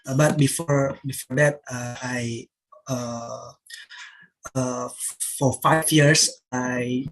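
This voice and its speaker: A man's voice: mid-range voice, fairly even intonation, fairly bright tone, poor audio. A man from Indonesia with an Indonesian accent, giving a hesitant presentation on a video call, full of fillers and restarts. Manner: halting, many fillers and restarts. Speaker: workshop participant presenting.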